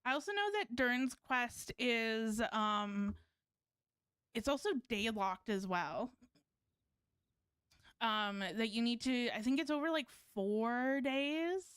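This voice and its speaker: A woman's voice: on the high side, expressive, slow pace, a bit bright, smooth, no room sound, clean studio sound. A woman livestreaming a game, chatting casually in a chatty, hesitant way. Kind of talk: casual stream chat and reading game dialogue aloud.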